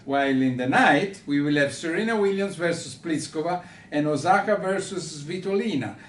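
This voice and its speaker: A man with an Italian accent hosts a sports chat in a fairly high voice. He speaks haltingly, often searching for the next word. The room echoes and he sits back from the microphone. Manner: hesitant, halting, searching for words. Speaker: host of a tennis radio show. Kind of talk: unscripted sports discussion with a guest. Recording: roomy, distant mic with background rumble.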